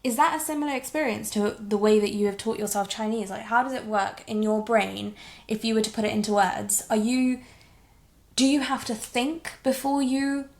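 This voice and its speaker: Gravelly-voiced woman hosting a podcast. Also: a little high, normal speed. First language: Hindi. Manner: encouraging, frequent short affirmations. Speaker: podcast host. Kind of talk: casual interview conversation.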